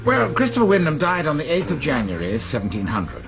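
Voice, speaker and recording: An actor playing a pedantic, easily flustered college librarian in a radio drama, speaking in a precise, donnish way. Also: male voice, fairly high pitch, wildly swooping pitch, a little fast, vocal fry, music in the background, full character voice. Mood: precise, savouring. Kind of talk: British radio drama acting.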